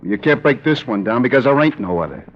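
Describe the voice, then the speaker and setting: Man playing a sullen American crook, swooping pitch. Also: big pitch swings, fast talker, slight background noise, natural voice. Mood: defiant, sullen. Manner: slangy, stubborn, uneducated speech. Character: sullen, defiant male small-time crook under questioning. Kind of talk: old-time American radio crime drama acting. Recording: muffled vintage radio recording.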